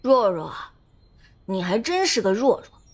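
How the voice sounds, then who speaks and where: A woman's voice: medium pitch, big pitch swings, measured pace, a little mellow. A woman voicing a mischievous four-year-old boy with a grown-up mind in an audio drama, in a teasing, playful big-brother tone.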